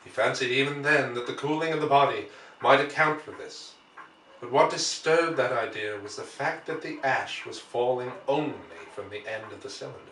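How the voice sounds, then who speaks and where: A man reading a classic story aloud as scripted narration, with measured, deliberate phrasing. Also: mid-range voice, sharp tone, a little hiss or hum. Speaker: audiobook reader. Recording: roomy, distant microphone.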